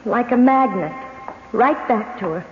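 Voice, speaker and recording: An actress playing an anxious young American newlywed in an old-time radio drama, speaking hesitantly and expressively. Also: female voice, a little high, unhurried, close to an everyday voice. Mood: wistful, fascinated. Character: anxious young American newlywed wife, high-strung, sensitive. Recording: tinny band-limited radio broadcast, music behind.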